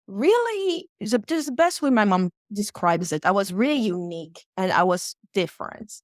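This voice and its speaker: A young woman from West Africa, a podcast guest, talking about her background in a calm, reflective, unhurried way. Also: mid-range voice, no room sound, clean studio sound. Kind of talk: casual interview talk.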